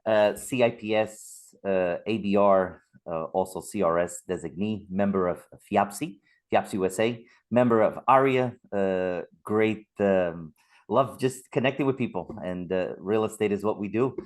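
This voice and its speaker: A man's voice: lowish voice, unhurried. A man from Portugal introducing himself in a friendly way on a video call. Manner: friendly, laughing. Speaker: realtor.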